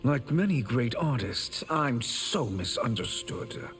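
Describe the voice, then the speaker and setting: An actor voicing a sly, villainous sorcerer in a fighting game, drawling and self-satisfied. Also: male voice, medium pitch, animated, slow pace, fairly bright tone, soft background music. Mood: smug.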